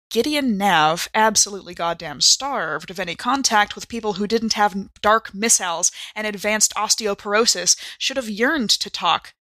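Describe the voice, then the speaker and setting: A woman performing on a podcast, moving between expressive story reading and casual chat. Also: mid-range voice, no room sound. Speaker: podcast cast member. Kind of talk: dramatic audiobook-style reading and casual chat.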